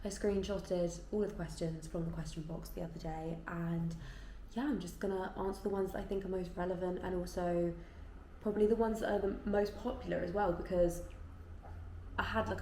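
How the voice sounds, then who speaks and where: A woman's voice: fairly low pitch, gravelly, mellow. A woman in her twenties chatting casually and informally as she answers viewer questions in a vlog, often saying "like". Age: 20s. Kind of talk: casual chat to camera, Q&A. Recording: echoey room with faint background noise.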